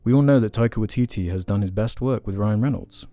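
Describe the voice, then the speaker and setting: Laid-back young guy, mellow low voice. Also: fast talker. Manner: laid-back, chatty, reads listener questions aloud. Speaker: podcast co-host. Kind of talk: casual podcast chat.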